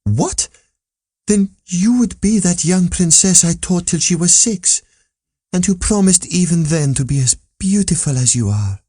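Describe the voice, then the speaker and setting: A man's voice: sits high, expressive, measured pace, mellow, breathy edge, no room sound, studio quality, voice pushed up, exaggerated voice. Man acting a sentimental Italian eunuch singer. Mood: tearful astonishment.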